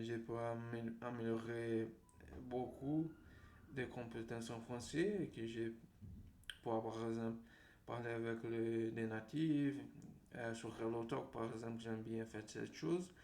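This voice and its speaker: Halting Brazilian man, roomy echo. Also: mid-range voice, level tone, a little mellow. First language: Portuguese. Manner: halting, repeats words and phrases. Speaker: language vlogger. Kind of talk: unscripted monologue to viewers. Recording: roomy echo, faint background noise.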